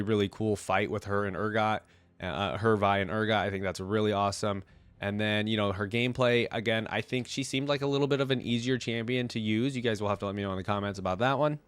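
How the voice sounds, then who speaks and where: A man's voice: lowish voice, clear, resonant tone, no echo. A man with a cold, chatting casually and in a rambling way to his viewers as a reaction video host. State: congested with a cold. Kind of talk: casual rambling chat to viewers about a game.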